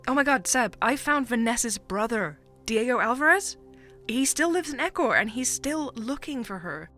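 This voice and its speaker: A woman's voice: fairly high pitch, animated, normal speed, sharp tone, soft background music. An actress playing a keen, teasing young woman who works as an amateur sleuth in an audio drama. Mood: excited.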